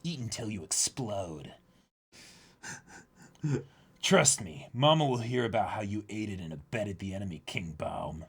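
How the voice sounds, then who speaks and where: Man putting on a bright, theatrical pirate villain. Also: fairly low pitch, animated, a little slow, vocal fry, long pauses, slightly rough, exaggerated voice. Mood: scolding, menacing. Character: stern, menacing biscuit-soldier commander, a male pirate villain. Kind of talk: amateur manga dramatic reading.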